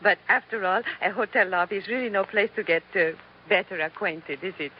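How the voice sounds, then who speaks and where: A woman's voice: mid-range voice, lightly acted. Woman playing a coy femme fatale, muffled radio sound. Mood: flirtatious, coy. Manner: coy, gracious, deliberate. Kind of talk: 1940s radio acting. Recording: muffled, noisy old radio recording.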